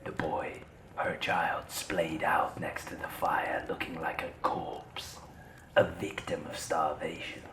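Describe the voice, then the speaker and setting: A man playing a solemn, mysterious campfire storyteller in a fantasy audio drama, breathy and theatrical, with archaic phrasing. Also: rumbling low, little pitch movement, takes it slowly, a bit bright, heavily acted. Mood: grave. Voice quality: breathy, whispery. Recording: roomy, echoing voice.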